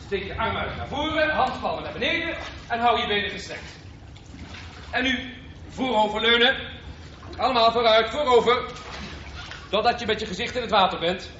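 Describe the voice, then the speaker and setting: Brisk swimming instructor, echoing, lively voice. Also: male voice, very high voice, muffled recording, a little hiss or hum, exaggerated voice. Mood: instructing, brisk. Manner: instructing, plain-spoken. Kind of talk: Dutch radio drama acting.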